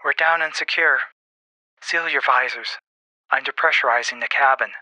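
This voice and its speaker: Man voicing a bright, businesslike female pilot. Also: mid-range voice, normal speed, no echo, pitched above the performer's own voice, poor audio. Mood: calm, businesslike. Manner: crisp, procedural.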